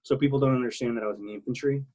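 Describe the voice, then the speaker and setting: Husky rambling veteran man from South Texas. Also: mid-range voice, steady tone, racing, warm tone. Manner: rambling, many restarts and fillers, blunt and swearing. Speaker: interview guest, military veteran. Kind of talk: casual interview talk.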